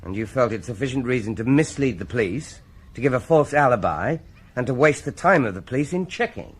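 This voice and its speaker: An actor playing a dogged, formal British police inspector in a radio drama, measured and probing. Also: male voice, mid-range voice, lively intonation, breathy edge. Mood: stern. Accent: British English.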